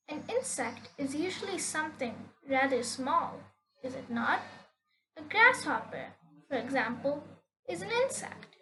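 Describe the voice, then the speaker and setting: A woman reading a children's story aloud with expressive, deliberate, theatrical phrasing. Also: high-pitched, poor audio.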